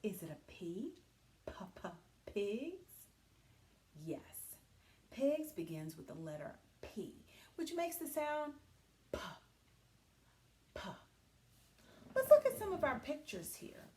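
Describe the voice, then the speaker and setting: Female early-years teacher, high voice with very lively intonation, patient question-and-pause delivery. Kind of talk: read-aloud and question lesson for young children.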